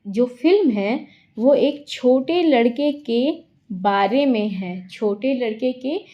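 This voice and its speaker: A woman teaching English vocabulary in Hindi, repeating each word and example sentence slowly to explain it. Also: fairly high pitch, animated, dull audio. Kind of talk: slow explanatory lesson.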